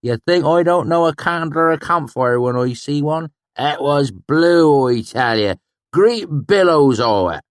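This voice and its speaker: A man's voice: medium pitch, animated, moderate pace, no room sound, studio quality, voice pushed up, natural voice. A man doing a blustery old country swineherd. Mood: indignant.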